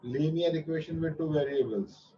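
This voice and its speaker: A man's voice: on the high side, moderate pace, dark tone, a little hiss or hum. A man with an Indian English accent teaching a maths class online, patient and repeating his instructions, sometimes switching into Hindi. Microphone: video call. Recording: muffled distant online-class audio.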